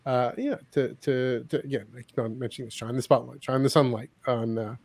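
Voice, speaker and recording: A man hosting a podcast in casual chat, halting and often repeating his words and phrases. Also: mid-range voice, steady tone, creaky, a little mellow, no room sound. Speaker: podcast host.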